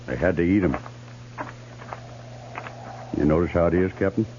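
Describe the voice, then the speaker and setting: An actor playing a plainspoken, seasoned army scout with folksy, laconic frontier talk in a 1950s radio western. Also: male voice, low voice, normal speed, lightly acted. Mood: wry, resigned. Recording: muffled, noisy 1950s radio recording.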